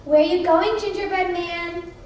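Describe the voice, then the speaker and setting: A woman's voice: very high-pitched, fairly even intonation, a little slow, a little mellow. A woman doing a snarling storybook wolf. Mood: challenging. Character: snarling male wolf, challenging. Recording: echoey hall from far off, noisy.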